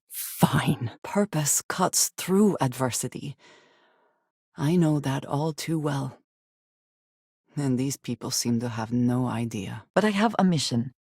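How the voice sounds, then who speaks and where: Loyal space adventurer, female, guarded, earnest, formal measured diction, video game companion, dry studio-like sound. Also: rumbling low, a little mellow, slightly rough, no room sound, heavily acted. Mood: solemn, resolute. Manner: formal, measured, precise diction without contractions.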